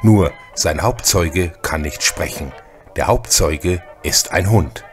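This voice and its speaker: A man's voice: deep voice, slow. A man narrating a retro crime radio play in a scripted storyteller style. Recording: music under the voice.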